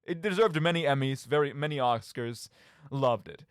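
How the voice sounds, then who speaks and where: A man's voice: mid-range voice, moderate pace, no room sound, clean studio sound. A man hosting a radio show, giving casual solo commentary on games in an emphatic, rambling way, often interrupting himself.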